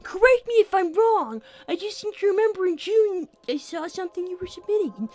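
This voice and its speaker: A woman's voice: extremely high pitch, expressive, fairly quick, a little mellow. A woman playing a smug, precocious young cartoon fox boy co-hosting an in-character livestream with matter-of-fact, needling chat. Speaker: livestream co-host.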